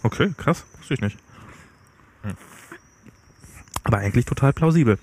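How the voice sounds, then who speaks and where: A man's voice: medium pitch, expressive, normal speed, a little mellow, husky. A young man co-hosting a casual podcast chat, joking and rambling and often correcting himself mid-sentence. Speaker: podcast co-host. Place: outdoor terrace.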